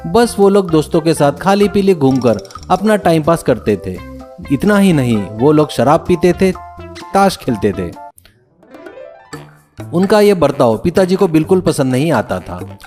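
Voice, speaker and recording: A man's voice: on the high side, sing-song, measured pace, a little mellow, soft background music. A man narrating a scripted moral tale as a storyteller.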